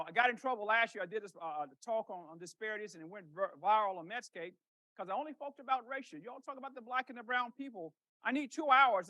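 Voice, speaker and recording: Man, middle-aged, rheumatologist lecturing, fast-talking, high voice, storytelling, dry sound. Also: bright, forceful tone, dry recording, low-quality recording. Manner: storytelling, conversational, asks rhetorical questions. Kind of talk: lecture to a professional audience. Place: lecture room.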